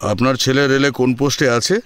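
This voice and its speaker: A man's voice: medium pitch, fairly even intonation, brisk, clear, resonant tone, no echo, lightly acted. An actor playing a proud, exacting father who formally questions suitors in an audio drama. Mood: proud, questioning.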